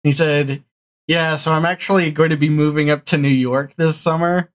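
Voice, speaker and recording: A man chatting casually as an interview guest, telling stories in an animated way and acting out bits of conversation. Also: fairly high pitch, normal speed. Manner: animated storyteller, acts out quoted exchanges. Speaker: guest, audio drama showrunner.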